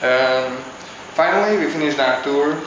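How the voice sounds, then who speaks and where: A man from Hungary, answering interview questions in a halting, casual way as he searches for words. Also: a little high, background noise. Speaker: interviewee, visiting traveler. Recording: very echoey with clear background ambience.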